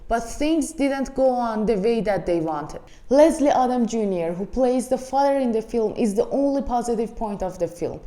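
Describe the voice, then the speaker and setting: A woman's voice: a little high, animated, moderate pace, few pauses, warm tone. Blunt woman with an Iranian accent. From Iran. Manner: blunt, frankly critical, addresses viewers as friends. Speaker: movie reviewer. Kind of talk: casual spoken film review. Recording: echoey room.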